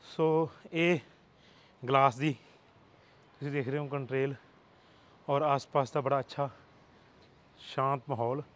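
A man's voice: mid-range voice, steady tone, measured pace, long pauses. A middle-aged Punjabi man giving a house tour as a real estate agent, explaining each room steadily and mixing English words into his speech, recorded on a lapel mic. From Punjab, India. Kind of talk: walking property tour commentary. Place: empty new house, bare echoing rooms. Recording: reverberant empty rooms.

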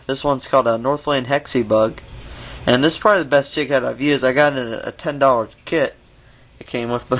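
A young man from Ohio, vlogging an ice fishing trip and giving gear tips in an upbeat, rambling way, recorded on a handheld camera. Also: medium pitch. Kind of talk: casual outdoor vlog and gear tips. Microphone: handheld camera mic. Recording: lo-fi phone-like camera audio.

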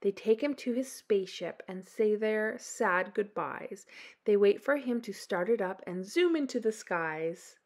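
A Canadian woman reading a children's picture book aloud, with animated delivery and dramatic emphasis. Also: medium pitch, a little slow. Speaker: children's storytime host.

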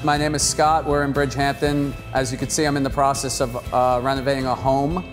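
A man's voice: mid-range voice, level tone, fairly quick. Man's testimonial in a reverberant room. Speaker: customer giving a testimonial. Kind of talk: earnest testimonial to camera. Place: living room with wooden floor. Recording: reverberant room with music behind. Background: plucked guitar music.

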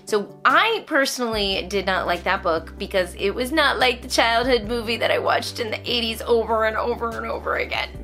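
A woman's voice: on the high side, moderate pace, few pauses, bright, forceful tone, soft background music. A middle-aged American woman chatting casually to camera as a homeschooling vlogger, stressing points emphatically and repeating phrases. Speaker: homeschooling vlogger reviewing books. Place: indoors, small room at home.

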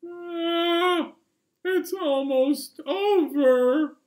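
High whiny moose puppet. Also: male voice, extremely slow, exaggerated voice. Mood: impatient, upset. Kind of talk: children's puppet show.

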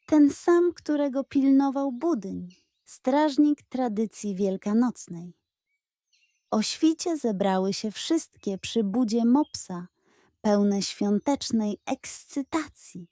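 A woman reading a scripted children's bedtime story with expressive, playfully dramatic narration. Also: a little high, slow pace, no room sound, studio quality.

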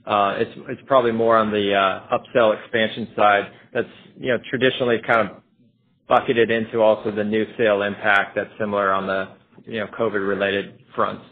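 A man speaking as a company finance executive on a conference call, explaining in a halting way. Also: on the low side, flat delivery. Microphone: telephone conference call. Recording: narrowband phone-like conference line.

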